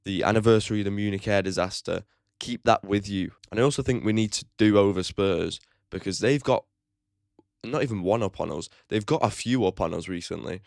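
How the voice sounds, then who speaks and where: A man's voice: lowish voice, brisk, creaky, darkish tone, dry recording, studio quality. A man hosting his own football fan channel, giving a blunt, opinionated match preview with casual swearing. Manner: chatty, with frequent "like" fillers.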